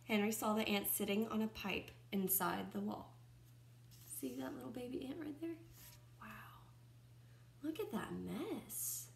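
A woman in her twenties reads a picture book aloud like a teacher to young children, expressively, pausing to comment and ask questions. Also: mid-range voice, creaky, sharp tone, a little hiss or hum. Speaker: first-grade teacher reading aloud. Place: small room at home, indoors by a window. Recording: roomy, echoing home recording.

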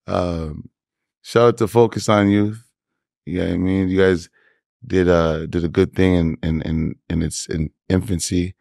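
A Toronto-accented man speaks in a very low voice, relaxed and animated, dropping slang as he tells his story. The sound is dry and close, with no room around him. He is a guest on a podcast chat. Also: a little slow, creaky, long pauses, dry recording. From Canada. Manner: laid-back, animated storytelling with slang asides. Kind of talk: casual interview chat.

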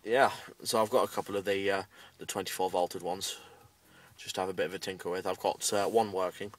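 Low, even-toned British man, distant mic. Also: a little fast, no room sound. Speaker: amateur radio hobbyist making a video update. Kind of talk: casual vlog update. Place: inside a parked car.

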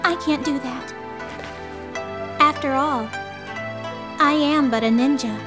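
A woman's voice: high-pitched, takes it slowly, music in the background. An actress playing a petite, girlish, spirited young ninja woman in an English video game dub. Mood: meek, downcast.

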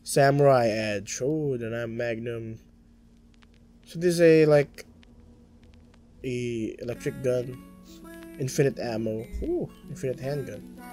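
A young Filipino-accented man talks through his game on a headset mic from a small home room. He rambles loosely, restarting sentences and stumbling over words, sometimes muttering quietly to himself. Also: mid-range voice, takes it slowly, pauses often, soft background music. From Philippines. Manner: rambling, many restarts and stammers, mumbles to himself. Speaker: gaming streamer. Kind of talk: casual let's-play commentary.

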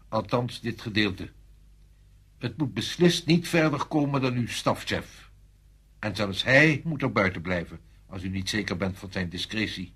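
An actor playing a senior French army general in a radio drama, authoritative, insistent and deliberate in manner. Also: male voice, mid-range voice, fairly bright tone, breathy edge, heavily acted.

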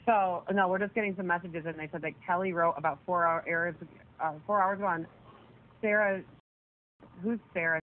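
A woman's voice: lowish voice, steady tone, a little fast. Woman chatting on the phone, swears a lot, thin crackly phone sound. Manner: frequent swearing. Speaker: caller on a jail phone call. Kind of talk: casual chat. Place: phone call. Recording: noisy narrowband telephone line.

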